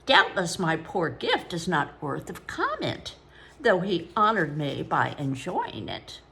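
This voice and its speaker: A woman's voice: lowish voice, slow, gravelly, exaggerated voice. A woman voicing a servile, grinning male porter with a Babylonian accent and a reedy, sibilant voice. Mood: wheedling. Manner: oily, obsequious flattery.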